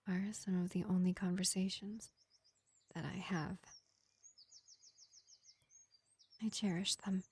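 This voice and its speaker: Girl doing a kind vampire medic, whispery n soothing, mellow, super dry sound, fantasy roleplay. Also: fairly low pitch, slightly rough, dry recording. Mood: wistful, tender. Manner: soothing, unhurried, whispery and hushed. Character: gentle, kindly vampire field medic tending a wounded elf. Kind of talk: roleplay audio drama addressed to the listener.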